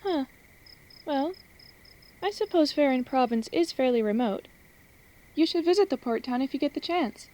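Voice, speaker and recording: High-voiced, teasing young ranch girl played by a woman. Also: normal speed, pauses often. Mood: wistful, chatty. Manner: chatty, expressive, teasing. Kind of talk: audio drama acting.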